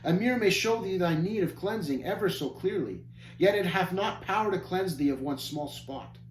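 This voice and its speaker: A man's voice: high voice, expressive, moderate pace, voice pushed up. A man doing a wise old-fashioned teacher. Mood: teaching. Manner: archaic, instructive. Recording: echoey room, faint hum.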